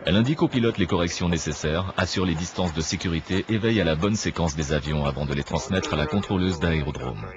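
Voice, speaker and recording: A man reading a scripted documentary narration in a measured, didactic manner. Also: low-pitched, muffled recording, background noise. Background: clear background music.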